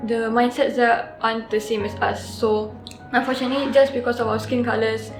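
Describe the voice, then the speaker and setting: A woman's voice: fairly high pitch, little pitch movement, brisk. A teenage girl, giving earnest, thoughtful interview answers as a student. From Singapore. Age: teens. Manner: earnest, thoughtful, with frequent restarts. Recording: roomy sound with soft background music.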